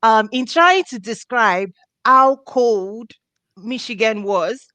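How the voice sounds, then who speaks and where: A woman's voice: on the high side, slow, pushed and bright, no room sound. A middle-aged woman from Nigeria hosting a video call interview on a headset, bubbly and laughing often. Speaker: host. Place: home office with diplomas.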